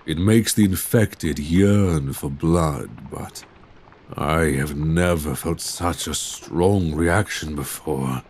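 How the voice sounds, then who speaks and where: Give this man acting as a young Victorian vampire a very low, breathy voice. He is courtly and remorseful, choosing formal, old-fashioned words in measured phrases. It is intimate ASMR drama, spoken close to a binaural mic. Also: a little mellow, full character voice. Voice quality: very deep, breathy, husky. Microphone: close binaural microphone.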